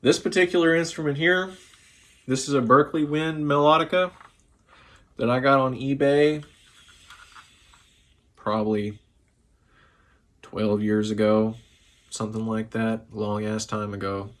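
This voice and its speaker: A young man who is sick and slightly hoarse, talking loosely and profanely to camera from his living room after a few drinks. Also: mid-range voice, fairly even intonation, measured pace, long pauses, fairly bright tone. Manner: profane, loose and chatty, a bit tipsy. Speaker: music vlogger. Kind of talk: casual rambling talk to camera.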